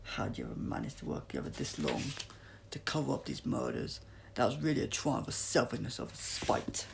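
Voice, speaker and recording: A man reads a heroic, easily exasperated doctor in a storybook adventure. The voice is gravelly and commanding, giving emphatic warnings over faint background noise. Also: mid-range voice, huge pitch swings, moderate pace, full character voice. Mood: amazed, scornful. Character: urgent, exasperated heroic male alien doctor.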